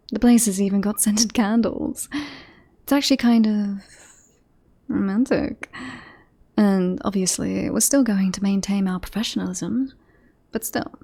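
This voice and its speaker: A woman playing a devoted bodyguard in an ASMR roleplay, politely reassuring and professional on the surface, with an obsessive streak slipping into hushed intimacy. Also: mid-range voice, gravelly, slightly rough. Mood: coaxing, smitten. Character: devoted yandere bodyguard woman, composed front over creepy obsession.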